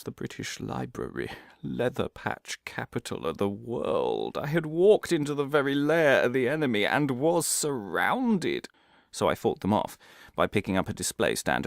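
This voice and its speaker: An actor playing a pompous, paranoid crackpot professor in a comic audio drama, lecturing theatrically with dramatic pauses, moving between hushed conspiracy and emphatic declaiming. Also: male voice, low voice, gravelly, exaggerated voice. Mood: indignant, paranoid. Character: pompous, paranoid crackpot male professor delivering absurd revelations.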